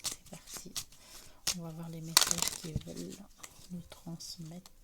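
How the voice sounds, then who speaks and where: A woman with a cold-roughened, hoarse voice leads a card reading in a low, level tone. She speaks very slowly and often drops into a breathy half-whisper. Loud background noise and the shuffle and click of cards run under her voice. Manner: soft, half-whispered, calm. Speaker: tarot card reader. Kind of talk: slow card reading guidance.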